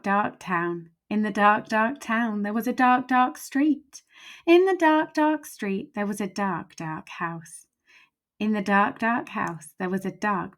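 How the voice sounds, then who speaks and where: A woman reads a children's story aloud in a medium-pitched voice. Her delivery is expressive, leaning on repeated words and building suspense through her phrasing.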